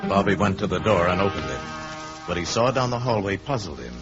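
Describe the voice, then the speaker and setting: Over clear music on a muffled old recording, a man narrates a 1950s radio drama. His low voice delivers the scripted story with theatrical weight. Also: very animated, a little fast. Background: prominent dramatic music.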